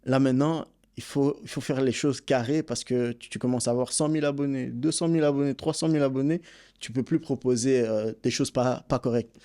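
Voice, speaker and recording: A Belgian man, a boxing coach and content creator, telling his story in a relaxed, easygoing way as a podcast guest. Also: medium pitch, fairly quick. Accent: Belgian French. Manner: relaxed storytelling, many fillers. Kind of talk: casual interview.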